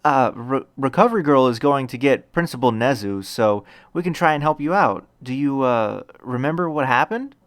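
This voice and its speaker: A man's voice: medium pitch, expressive, moderate pace, few pauses, dry recording, lightly acted. A man voicing an earnest, polite, stammering teenage boy hero in training in a narrated story. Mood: gentle, stammering. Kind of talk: acted audiobook dialogue.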